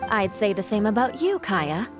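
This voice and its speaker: A woman's voice: mid-range voice, sing-song, moderate pace, natural voice. A woman playing a poised, confident lady knight in a video game, with a composed way of speaking. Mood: wry, admiring. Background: game music.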